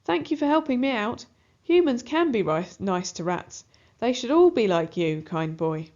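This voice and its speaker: A woman's voice: on the high side, sing-song, normal speed, a little mellow. A small young female rat from a children's storybook acted out by a woman reader. She is hungry, hopeful and full of thanks. The sound is a little muffled. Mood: grateful.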